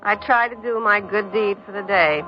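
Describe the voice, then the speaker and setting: A woman's voice: medium pitch, moderate pace, close to an everyday voice. Woman acting brassy American dance-hall dame, dull recording. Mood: skeptical, blunt. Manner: brassy, blunt, wisecracking. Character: world-weary, tough dance-hall woman in her thirties. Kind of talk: old-time American radio crime drama acting. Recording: dull vintage radio recording, music behind.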